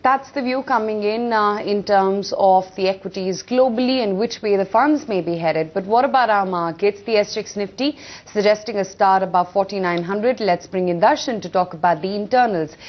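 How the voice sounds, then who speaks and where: A woman's voice: mid-range voice, moderate pace, few pauses, highs cut off. A woman with an Indian accent anchoring live business news.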